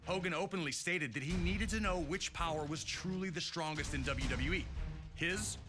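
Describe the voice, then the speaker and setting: A man's voice: fairly high pitch, moderate pace. A man narrating a scripted sports documentary with dramatic, announcer-like storytelling. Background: music.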